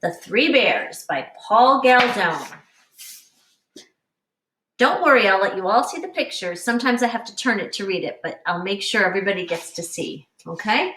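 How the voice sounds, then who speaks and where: A woman teacher reading a picture book aloud to young children in an expressive, instructive way, pausing to explain and addressing them directly. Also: medium pitch, a little hiss or hum. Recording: roomy, echoing, distant microphone.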